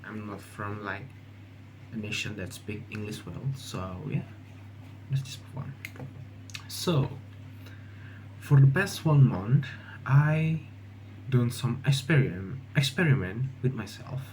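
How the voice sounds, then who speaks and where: A young man from Indonesia with an Indonesian accent, speaking English as a second language in a casual, halting monologue full of fillers and restarts. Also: on the low side, expressive, background noise. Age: young adult. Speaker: vlogger.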